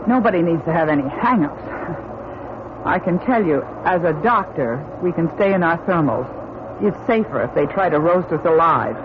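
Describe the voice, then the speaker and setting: A woman's voice: fairly low pitch, lively intonation, moderate pace, lightly acted. A woman playing a level-headed doctor held captive with her space crew in an old-time radio drama, firm, reasoning and urgent. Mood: practical. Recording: tinny narrow-band radio recording, faint noise.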